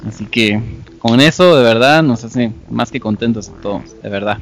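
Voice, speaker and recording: A man's voice: medium pitch, moderate pace, faint music behind. A man hosting a podcast from Guatemala with a Guatemalan accent, talking at length in an enthusiastic way. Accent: Guatemalan Spanish. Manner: enthusiastic, long-winded. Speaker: podcast host. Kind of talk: casual podcast interview.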